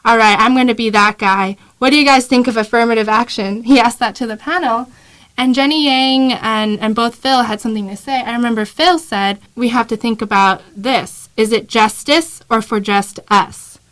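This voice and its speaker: A woman's voice: on the high side, moderate pace, low-quality recording. A young woman co-hosting a college radio talk show, speaking casually with frequent fillers. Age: young adult. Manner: relaxed, uses fillers like you know. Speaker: co-host.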